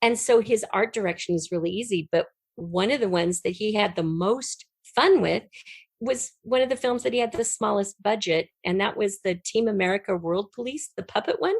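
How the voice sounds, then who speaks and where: A woman with a medium-pitched voice speaks as a guest in a casual interview. She tells anecdotes in an animated way and pauses to think. The recording is dry and close, with very little room sound. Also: husky, no room sound. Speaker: podcast guest, audio drama writer and producer.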